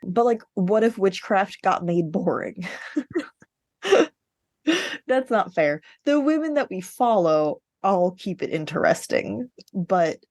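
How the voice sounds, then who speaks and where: A woman's voice: mid-range voice, expressive, slow pace, darkish tone, no room sound, clean studio sound. A woman co-hosting a podcast in casual book chat, informal and wry, with the odd sweary aside. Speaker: podcast co-host.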